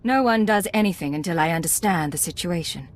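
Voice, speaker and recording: An actress playing a commanding, composed secret agent in a video game, with controlled, clipped delivery. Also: female voice, fairly low pitch, expressive, fairly quick, close to an everyday voice. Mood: firm, probing. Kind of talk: dramatic game dialogue.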